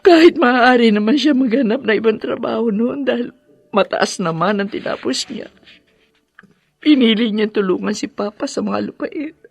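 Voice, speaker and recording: A woman acting a tender, devoted widowed mother in a radio drama, with a halting, emotional delivery. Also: on the high side, gravelly, dry recording. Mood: tearful, regretful.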